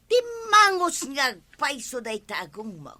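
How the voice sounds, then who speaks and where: A blunt, teasing village matron for an Ilocano radio comedy. The voice is high and extremely piercing. She exclaims excitedly in an Ilocano accent. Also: a little slow, exaggerated voice. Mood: scolding.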